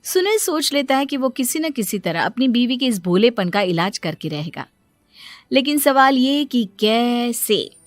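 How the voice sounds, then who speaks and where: A woman's voice: a little high, sing-song, unhurried, dry recording, faint music behind. A woman reading a scripted story as its narrator.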